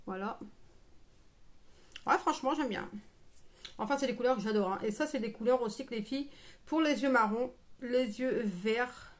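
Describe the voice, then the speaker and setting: High-voiced woman chatting through a make-up live. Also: normal speed, long pauses. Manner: chatty, familiar, playful asides to viewers. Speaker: live make-up streamer and beauty seller. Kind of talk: casual live tutorial chat with viewers.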